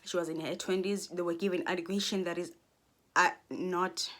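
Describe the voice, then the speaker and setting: A woman's voice: lowish voice, fairly even intonation, moderate pace. A Xhosa-speaking South African woman in her twenties, talking to camera in a rambling, earnest monologue full of restarts. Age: 20s. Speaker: vlogger.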